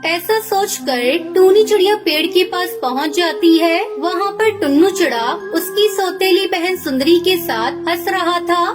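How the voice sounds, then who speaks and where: A woman narrating a children's cartoon story in a clear, measured way, with music behind. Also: extremely high pitch.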